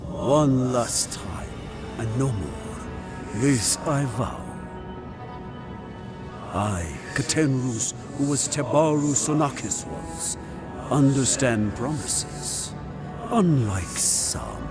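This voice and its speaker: An actor playing a stern, vengeful guardian spirit in a fantasy game, with solemn, imperious, formal delivery. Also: male voice, medium pitch, animated, very slow, music in the background. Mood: solemn, vowing. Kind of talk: dramatic fantasy game acting.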